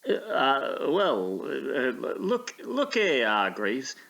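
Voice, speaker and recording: An actor playing a flustered, irritated, proper landlord who blusters with exasperation in a period audio drama. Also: male voice, medium pitch, huge pitch swings, very slow, creaky, fairly bright tone, full character voice. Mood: flustered, scolding.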